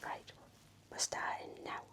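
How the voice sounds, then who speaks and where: A woman whispering in a gentle, soothing, encouraging way as she role-plays an attentive nurse for ASMR. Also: unhurried. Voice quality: breathy whisper. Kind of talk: whispered ASMR medical exam roleplay.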